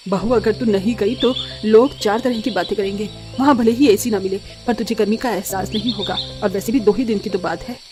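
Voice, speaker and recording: A woman's voice: medium pitch, a little fast, few pauses, over music, lightly acted. A woman doing a firm, coaxing older mother-in-law.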